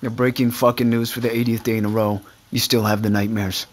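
An actor playing an exasperated but protective friend in an audio drama, blunt, profane and insistent. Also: male voice, mid-range voice, flat delivery, a little fast, lightly acted. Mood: concerned. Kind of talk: naturalistic audio drama acting.

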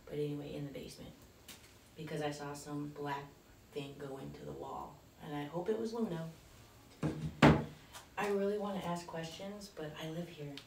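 A woman's voice: low-pitched, steady tone, moderate pace, creaky, noisy background. A middle-aged woman chatting nervously as she vlogs a ghost hunt, swearing casually, filmed in a large old cellar. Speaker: paranormal vlogger. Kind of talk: casual ghost-hunting vlog. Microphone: camera on a tripod, then handheld. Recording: echoey basement from far off.

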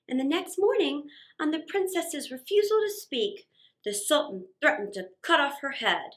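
A middle-aged woman reading a story aloud for children, expressive, with theatrical pauses and emphasis. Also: very high voice. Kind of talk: dramatic read-aloud story narration for children. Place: small indoor set.

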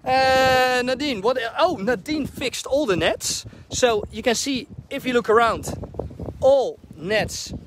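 A man in his thirties with a Dutch accent, giving an excited tour of his sailboat while filming himself. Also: very high voice, slow pace, slight background noise. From Netherlands. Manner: enthusiastic, chatty, addresses viewers as guys. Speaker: sailing vlogger. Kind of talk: casual vlog boat tour. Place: on a sailboat in a harbor, cabin and deck. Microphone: camera at arm's length.

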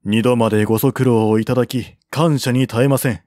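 A man's voice: medium pitch, fairly even intonation, brisk, a little mellow, dry recording, clean studio sound, close to an everyday voice. Man reading as a shrewd, formal military strategist. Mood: courteous. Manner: formal, reasoned, persuasive. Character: shrewd, calm male military strategist of ancient China.

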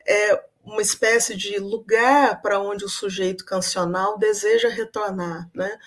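A young adult woman giving an academic lecture over a video call from a room at home, thoughtful and full of hesitation fillers. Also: mid-range voice. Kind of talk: academic talk on song and literature. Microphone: webcam on video call.

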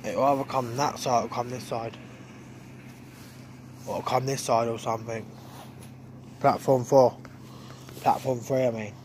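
A man's voice: medium pitch, steady tone, a little slow, long pauses, darkish tone, slight background noise. A young man making a rambling casual vlog, filmed on a phone at arm's length on an outdoor railway platform. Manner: rambling, filler-heavy, mumbling. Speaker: vlogger. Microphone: phone held at arm's length.

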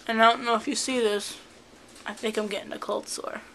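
A woman's voice: a little high, fairly even intonation, slight background noise, rough recording. A young woman with a cold, chatting casually into a handheld camera in her bedroom. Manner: soft, slow and chatty, cooing at her cats. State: has a cold. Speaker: vlogger. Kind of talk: casual home video chat. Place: bedroom at home. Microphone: handheld camera close up.

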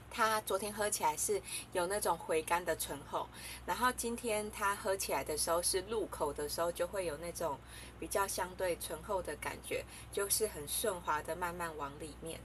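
A woman's voice: fairly low pitch, moderate pace, a little hiss or hum. A young woman casually chatting to the camera while reviewing coffee, talking in a hesitant way with many fillers and giggles. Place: small room indoors.

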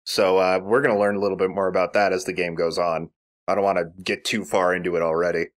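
A man's voice: deep voice, flat delivery, quick pace. A man giving casual, explanatory game commentary with rambling asides. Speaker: let's play commentator.

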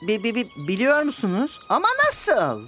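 A boastful little cartoon crow declaims like a masked superhero. The voice is high and wildly animated, clumsy and theatrical in spirit. Music plays clearly behind it. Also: female voice, normal speed. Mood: eager, chatty. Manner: pompous self-narration in third person, theatrical. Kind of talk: children's cartoon voice acting.

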